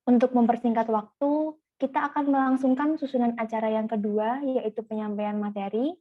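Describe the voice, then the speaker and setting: A woman's voice: a little high, steady tone, moderate pace, no room sound. A woman with an Indonesian accent, emceeing an online event formally, reading out honorifics and the agenda. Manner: formal, ceremonious, reads the agenda. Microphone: video call. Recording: dry, tinny call audio.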